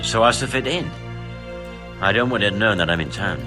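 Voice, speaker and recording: An actor playing a brisk, shrewd medieval master in a video game, with a measured, commanding manner. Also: male voice, on the low side, expressive, lightly acted. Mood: brisk, impatient. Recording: music behind the voice.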